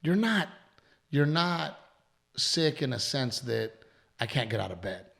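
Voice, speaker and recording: Man, gravelly voice, worn and strained, rambling podcast host, swears casually. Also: mid-range voice, sing-song, measured pace, a bit bright. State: voice worn from allergies and shows.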